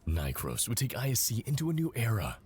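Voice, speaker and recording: An actor playing a smooth, courteous but condescending company executive in an audio drama, with a suave, patronizing, unhurried manner. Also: male voice, mid-range voice, huge pitch swings, darkish tone. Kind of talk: scripted audio drama acting. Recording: clearly noisy background ambience.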